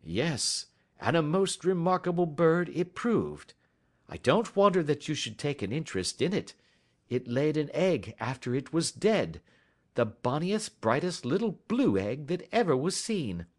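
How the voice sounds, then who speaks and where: A man playing a shrewd, self-assured gentleman detective in an audiobook, speaking precisely with suave, gentle mockery. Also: a little high, very animated, a little slow, pitched above the performer's own voice. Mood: wry, amused.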